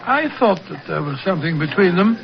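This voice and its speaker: A detective's polite, easily shocked companion in a vintage radio play. He has a high, blustery, old-fashioned delivery. The recording is dull, with crowd noise behind. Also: lively intonation, fairly quick, creaky. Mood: indignant, bemused. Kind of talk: 1940s radio acting.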